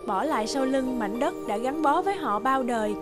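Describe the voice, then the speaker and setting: Woman, fairy-tale narrator, high pitch, smooth storytelling, loud background music. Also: lively intonation, moderate pace.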